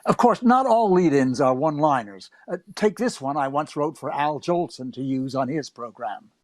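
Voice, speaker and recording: Eager young gag writer played by an actor, self-mocking narrator, fairly high, dry studio sound. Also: male voice, animated, normal speed, no room sound, lightly acted. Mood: wry, upbeat. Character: eager, wryly self-mocking young comedy writer recalling his career. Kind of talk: first-person radio drama narration.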